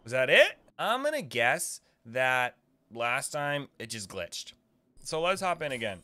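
A man's voice: medium pitch, sing-song, unhurried, sharp tone, slight background noise. A man in his thirties giving casual, playful gameplay commentary, talking to himself into a close desk microphone. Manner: playful, talks to himself and the game. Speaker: video game streamer. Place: small indoor room at a desk. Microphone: boom-arm desk microphone, close.

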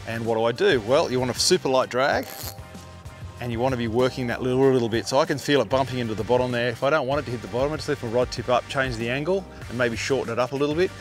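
A man's voice: medium pitch, quick pace, soft background music. A middle-aged man with an Australian accent giving casual, matey instructional fishing commentary outdoors on a boat. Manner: informal, matey, explanatory. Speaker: fishing show host. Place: outdoors on a small boat on open water.

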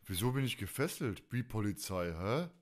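A man's voice: lowish voice, lively intonation, moderate pace. Man hosting a casual fan podcast. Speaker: podcast host.

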